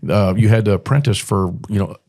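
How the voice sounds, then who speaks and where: A man's voice: on the low side, steady tone, fairly quick, vocal fry, darkish tone. A man talking casually as a podcast guest, in a folksy, plainspoken way. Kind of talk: casual podcast conversation, anecdotes.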